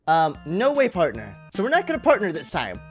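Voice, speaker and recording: A young man in his twenties chats casually as he plays a game. His voice is fairly high and very animated. He is close to the mic, with game music underneath. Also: fairly quick. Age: 20s. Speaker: gaming YouTuber. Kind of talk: casual let's-play commentary. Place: small home room indoors. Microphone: close boom-arm studio microphone with headset.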